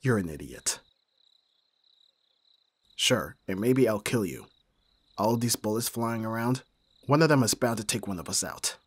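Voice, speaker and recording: A man acting a brooding mafia boss, hard but secretly caring, in a dramatic audio roleplay with terse, measured delivery. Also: on the low side, animated, slightly rough. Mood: cold, menacing. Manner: terse, profane, sighing, measured.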